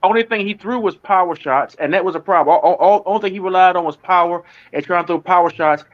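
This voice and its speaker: Stammering male panelist, slightly high voice, dull lo-fi sound. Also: fast talker. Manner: stammering, repeats words. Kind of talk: casual boxing debate. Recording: dull lo-fi call audio.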